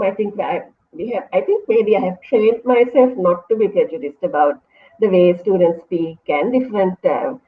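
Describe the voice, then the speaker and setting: A woman with a Sri Lankan accent, a university English lecturer, explaining her views thoughtfully in an online interview. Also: medium pitch. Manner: thoughtful, explanatory, self-correcting. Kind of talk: academic discussion in an online interview. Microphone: video call. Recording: phone-like online call audio.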